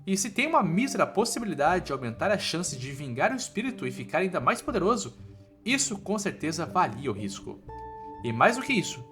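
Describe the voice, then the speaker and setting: A Brazilian man reads an informal scripted story recap. His voice is bright and slightly high, with very lively swings in intonation. Soft music plays behind him. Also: brisk. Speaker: recap narrator.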